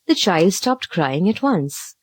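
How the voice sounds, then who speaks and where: A woman narrating a children's story from a script, with an expressive, dramatic read-aloud delivery. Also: fairly low pitch, slow.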